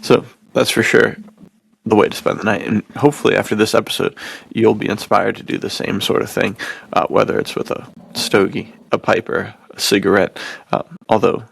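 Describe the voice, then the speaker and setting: A man's voice: on the low side, steady tone, normal speed, vocal fry, a little hiss or hum. A man hosting a podcast, chatting casually in a laid-back, slangy way. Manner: laid-back slangy talk, often says dude. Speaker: podcast host.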